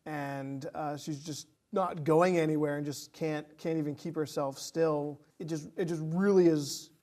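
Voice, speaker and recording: A man's voice: on the high side, fairly even intonation, measured pace, a little mellow. A man co-hosting a casual film discussion, feeling his way through thoughts with repeated phrases. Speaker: podcast co-host.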